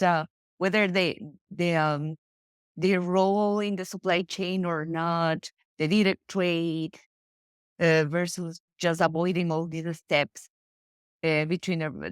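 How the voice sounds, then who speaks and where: Lowish, smooth-voiced woman from Ecuador. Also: slow pace, dry recording, clean studio sound. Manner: careful, hesitant, with many fillers. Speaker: coffee sourcing consultant, guest. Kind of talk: interview answers.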